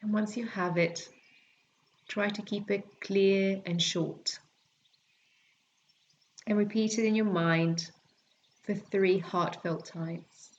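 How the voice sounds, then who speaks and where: A woman teaching yoga nidra, guiding a relaxation meditation in a gentle, soothing, unhurried way with long pauses. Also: mid-range voice, highs cut off, slight background noise. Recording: birdsong and forest sounds behind voice. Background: calming forest nature sounds with birds.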